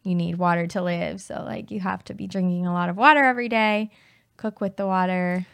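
A woman from Florida co-hosting a podcast in casual chat, her voice deeper than usual because she is losing it. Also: fairly even intonation, a little fast, gravelly, clean tone, dry recording. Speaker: podcast co-host.

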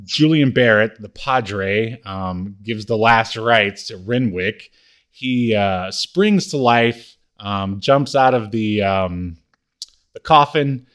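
A man co-hosting a podcast, casually recapping a TV comedy episode with halting delivery and acted-out quotes. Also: fairly low pitch, lively intonation, a bit bright, clean tone. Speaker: podcast co-host.

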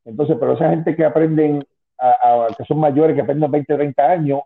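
A man's voice: on the high side, steady tone, moderate pace, gravelly. A man in his fifties talks through a phone line, sounding tinny and thin. He rambles as an interview guest, restarting sentences and dropping asides. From Spanish-speaking, moved to the US at ten. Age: 50s. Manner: rambling, many restarts and "tú sabes". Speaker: guest, former wrestling manager. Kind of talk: casual interview on a call.

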